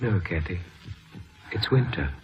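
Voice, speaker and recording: An actor playing a gentle, restrained, sorrowful husband, soft-spoken and hesitant, in an old-time radio drama. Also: male voice, sits low, expressive, measured pace. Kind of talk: 1940s-50s radio drama acting. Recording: muffled old radio broadcast with music.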